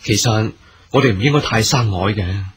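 A man's voice: fairly low pitch, moderate pace, slightly rough. A man acting a courteous, earnest military commander in a period radio drama, speaking formally in Hong Kong Cantonese. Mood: friendly, gracious. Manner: formal, stately, gracious.

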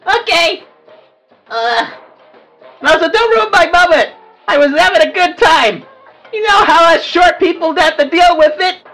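Woman voicing a high-pitched, whiny little cartoon devil. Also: expressive, measured pace, long pauses, fairly bright tone, far from the mic, faint music behind, full character voice, poor audio. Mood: indignant. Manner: whiny, petulant, hesitant complaining. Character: short, grumpy, whiny cartoon devil who hates work. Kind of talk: improvised cartoon roleplay.

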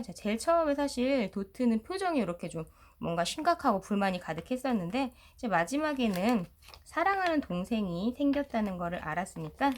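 A middle-aged woman teacher giving a step-by-step craft tutorial in a polite, explanatory way. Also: medium pitch. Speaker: children's storytelling teacher and video host.

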